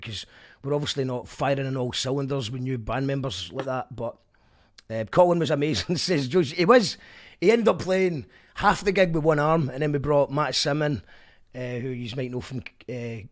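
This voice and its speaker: A man, a radio host and band musician chatting casually in an animated, rambling way, often correcting himself. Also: mid-range voice. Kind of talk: casual chat.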